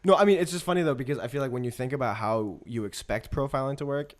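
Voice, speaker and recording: A man, a comedian, telling a story in casual chat with quick jokes. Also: mid-range voice. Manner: quick, animated, jokey. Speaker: stand-up comedian, podcast guest.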